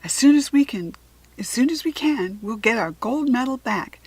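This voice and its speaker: A woman's voice: fairly high pitch, animated, moderate pace, husky, pitched above the performer's own voice. A woman as an earnest, determined young girl. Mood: firm, determined. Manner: emphatic, firm, eager. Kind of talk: children's storybook character reading.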